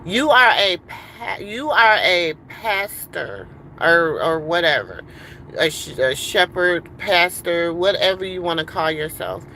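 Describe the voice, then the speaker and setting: A woman's voice: lowish voice, lively intonation, slow, bright, forceful tone, slight background noise, poor audio. Heated rambling middle-aged woman. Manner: heated, rambling, many fillers. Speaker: livestream host. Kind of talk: casual livestream rant. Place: in a car.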